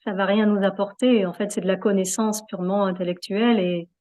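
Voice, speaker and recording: A woman's voice: mid-range voice, steady tone, a little fast, a little mellow. A woman being interviewed in a reflective, conversational way, hesitating often as she searches for her words. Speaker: interview guest, yoga practitioner.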